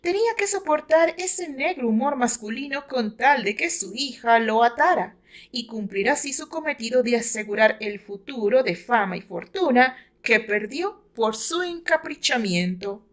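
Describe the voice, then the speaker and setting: A woman with a Mexican accent reads a dramatic novel aloud in a measured, expressive storytelling manner. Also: a little high. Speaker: author narrating her own novel. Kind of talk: dramatic audiobook narration.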